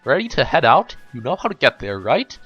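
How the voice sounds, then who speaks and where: A man's voice: mid-range voice, big pitch swings, fairly quick, husky, muffled recording. Storybook girl leader, played by a man: professorial, earnest, emphatic, swinging delivery with music behind. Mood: matter-of-fact.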